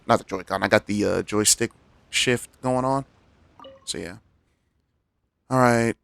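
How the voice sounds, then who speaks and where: A low, gravelly male voice, dry and close on the mic. He is a laid-back game streamer, chatting casually and reading text aloud. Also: a bit bright, no echo. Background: faint game music.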